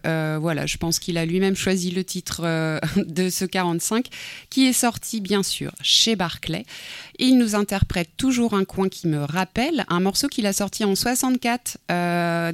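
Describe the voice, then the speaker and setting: A woman co-hosting a radio music show, chatting in a relaxed way and introducing records. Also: mid-range voice, clear, resonant tone, no echo, studio quality. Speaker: radio co-host. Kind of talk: casual music chat.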